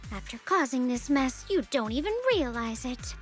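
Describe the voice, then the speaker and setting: Sly young female service agent, anime game: mock-sweet, sarcastic, very animated delivery, music underneath. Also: high voice, moderate pace. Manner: mock-polite, sarcastic, theatrical. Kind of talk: anime-style video game voice acting.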